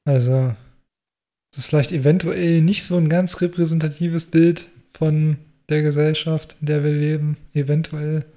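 A man co-hosting a casual podcast sounds skeptical and rambles with many hedges. His voice is mellow and slightly high. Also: level tone, moderate pace. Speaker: podcast co-host. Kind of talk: casual chat.

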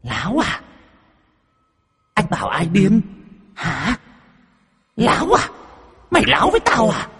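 A man's voice: high voice, huge pitch swings, slow pace, long pauses, fairly bright tone, husky, long reverb tail, pitched above the performer's own voice, full character voice. A man voicing the vengeful ghost of a young woman, screeching accusations. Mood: furious.

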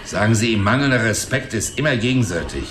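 A man's voice: fairly low pitch, lively intonation, fast talker, reverberant, over music, lightly acted. An actor playing a cranky, cocky old man, a wisecracking and belligerent mechanic, in a German radio drama. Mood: defiant, snide. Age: older. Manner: wisecracking, belligerent, long-winded.

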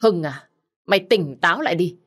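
A woman's voice: lowish voice, lively intonation, fairly quick. A woman voicing a young man who scolds his cousin with contempt. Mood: scolding.

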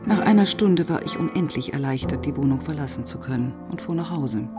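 An actress playing a police inspector who narrates her case in a sober, measured way for a radio crime drama. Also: sits low, lively intonation, over music. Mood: sober, tense.

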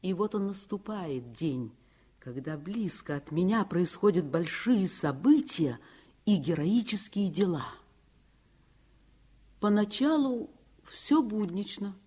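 A woman narrating childhood memories in a radio play. Her delivery is slow, measured and lyrical, with heartfelt literary phrasing at a medium pitch. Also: lively intonation, mellow, breathy edge. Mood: lyrical, reflective.

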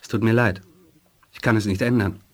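An actor playing a calm, earnest male psychic, measured and reflective, in a radio play. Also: fairly low pitch, natural voice. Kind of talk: 1980s German radio play acting.

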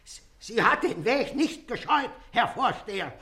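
A man's voice: extremely high pitch, expressive, a little slow, bright, forceful tone, full character voice. An actor in a German radio play playing an indignant old man, querulous and stammering as he repeats himself. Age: older. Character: excitable, indignant old man demanding his rights.

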